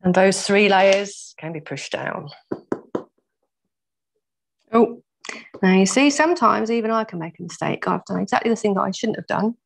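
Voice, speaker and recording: A woman with a croaky voice teaching a weaving workshop over a video call, patiently explaining each step and laughing off her own mistakes. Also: mid-range voice, moderate pace, pauses often. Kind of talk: step-by-step craft demonstration. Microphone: laptop mic over video call.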